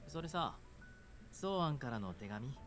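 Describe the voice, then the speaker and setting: A man's voice: medium pitch, very animated, a little fast, fairly bright tone, faint music behind. A voice actor playing an easygoing, teasing demon king in a Japanese visual novel, with a breezy, casual manner. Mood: casual, friendly.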